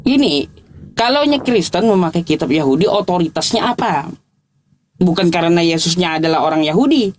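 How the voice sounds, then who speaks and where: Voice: medium pitch, lively intonation, a little fast, a little mellow. A debater, speaking informally and insistently, challenging and repeating points in a religious argument. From Indonesia.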